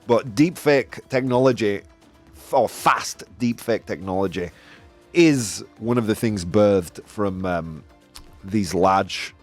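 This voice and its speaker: A man hosting a casual opinion show, talking in an animated, rambling way with lots of fillers and self-corrections. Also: on the low side, takes it slowly, soft background music. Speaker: podcast host. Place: Spain.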